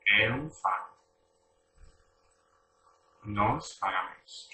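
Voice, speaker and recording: Middle-aged man, language teacher, very slow and deliberate drill delivery, distant mic in a roomy space. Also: on the low side, expressive, bright, forceful tone. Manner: slow, deliberate modelling of short phrases for repetition. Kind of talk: repetition drill lesson. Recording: echoey room, distant mic, faint background noise.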